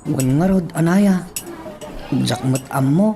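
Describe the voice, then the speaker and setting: An actor with an Ilocano accent playing a polite, puzzled young suitor in a radio love drama. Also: male voice, a little high, slow, mellow. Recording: clearly noisy ambience behind.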